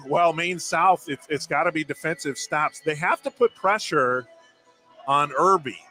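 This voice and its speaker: Slightly high-voiced male basketball analyst. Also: measured pace, bright, forceful tone, soft background music. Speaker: color commentator. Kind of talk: live sports analysis, conversational.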